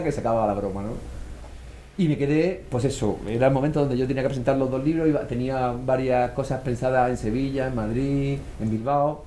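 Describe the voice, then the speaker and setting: Fast-talking Murcian man, animated storyteller. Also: medium pitch, a little hiss or hum. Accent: Murcian Spanish. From Murcia, Spain. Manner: animated storyteller, acts out quoted voices. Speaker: author guest. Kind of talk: casual conversational talk.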